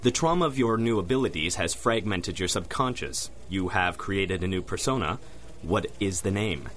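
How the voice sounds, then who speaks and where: Arrogant sanitarium doctor, radio drama: clinical, pompous, on the deep side. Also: male voice, animated, normal speed, a bit bright, slight background noise. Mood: condescending. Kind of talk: 1930s-style radio drama acting.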